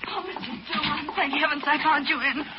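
A muffled old radio recording with faint noise carries a woman acting an anxious young assistant. Her voice is fairly high, fretful and earnest. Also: steady tone, brisk, lightly acted. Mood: worried, pleading.

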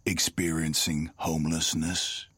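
A crooked small-town lawman with a very low, husky voice. He is smug and menacing, taking his time as he taunts, in audio drama style. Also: flat delivery, a bit bright, no room sound, studio quality, full character voice. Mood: smug, taunting. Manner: unhurried, taunting, arrogant.

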